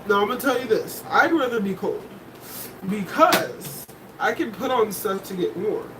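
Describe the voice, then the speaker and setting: A woman with a deep voice giving a casual tarot reading, blunt and candid, swearing and laughing between points. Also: lively intonation, moderate pace, recorded from a distance, noisy background. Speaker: tarot reader.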